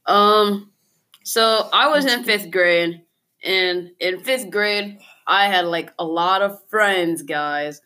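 Young woman, mid-pitched, rambling storyteller. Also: slow, pushed and bright. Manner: rambling, repetitive. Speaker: podcast co-host. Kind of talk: casual storytelling.

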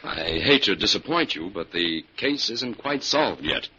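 An actor playing an authoritative city detective in old-time radio style, firm, measured and persuasive. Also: male voice, fairly low pitch, very animated, sharp tone, heavily acted. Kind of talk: 1940s radio acting. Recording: dull old radio recording, faint noise.

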